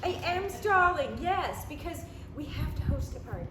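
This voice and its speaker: A woman's voice: sits high, lively intonation, moderate pace. A woman acting an evasive, exasperated girlfriend, conversational and dry, at a comic play table read. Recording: roomy, clearly noisy recording.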